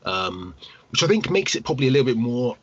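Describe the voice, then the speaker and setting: A man co-hosting a casual gaming podcast, husky, rambling and qualifying his points as he goes. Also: medium pitch, very animated, fast talker. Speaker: podcast co-host, game collector. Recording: slightly muffled podcast audio.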